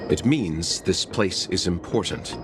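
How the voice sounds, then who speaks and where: Courteous mystery gentleman: low voice, expressive melody, measured manners, loud game score underneath. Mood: suave, calm. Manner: polite, measured. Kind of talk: video game voice acting. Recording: loud game music behind the voice. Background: loud game music.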